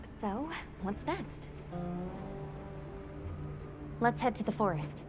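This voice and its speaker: Young woman field guide, highish voice, courteous, composed, video game cutscene, music behind. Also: animated, measured pace. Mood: calm, organizing.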